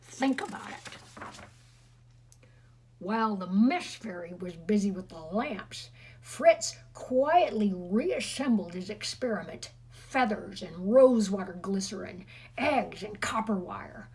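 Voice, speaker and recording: A woman's voice: medium pitch, expressive, slow pace, darkish tone, husky, poor audio. A woman reading a storybook aloud expressively to children, with chatty, teasing asides to her listeners.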